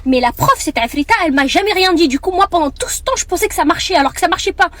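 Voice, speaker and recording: Young french woman telling a story to her followers, talks super fast and lively. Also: very high-pitched, no echo. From France. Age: 20s. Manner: animated, fast, chatty, mixes Arabic phrases. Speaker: content creator.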